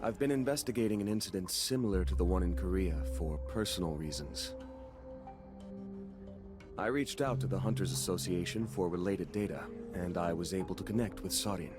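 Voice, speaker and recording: Deep, courteous guild master played by a man. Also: close to an everyday voice. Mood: earnest. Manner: formal, polite, measured. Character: courteous, composed German guild master and elite hunter. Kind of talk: scripted video game cutscene acting. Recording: music behind the voice.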